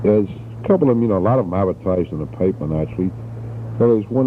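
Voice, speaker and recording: Deep-voiced New man on noisy old tape. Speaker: father recording a taped letter. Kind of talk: casual spoken letter to family. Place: at home. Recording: muffled, noisy 1960s home cassette tape.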